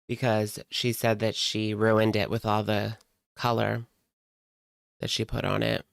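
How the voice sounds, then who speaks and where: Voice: mid-range voice, fairly even intonation, slow pace, vocal fry, pauses often, dry recording, clean studio sound. A streamer giving casual live commentary in a blunt, sarcastic, conversational way. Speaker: reaction streamer.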